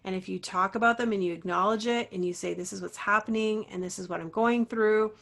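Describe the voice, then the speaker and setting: A woman's voice: medium pitch, steady tone, fairly quick, vocal fry, fairly bright tone. Earnest, encouraging woman voice coach. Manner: earnest, encouraging, many fillers. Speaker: guest, voice teacher and success coach. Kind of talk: interview talk.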